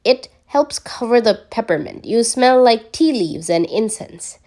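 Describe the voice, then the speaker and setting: A candid, affectionate teenage boy played by a woman reader in story dialogue. He talks casually and a little mumbled, in a fairly high, varied voice at an easy, slow pace. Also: lively intonation, darkish tone. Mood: matter-of-fact.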